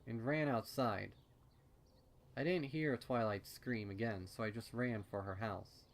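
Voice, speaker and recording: A man reading a first-person story aloud in a conversational, measured storytelling manner. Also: lowish voice. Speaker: fan fiction reader. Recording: loud music behind the voice.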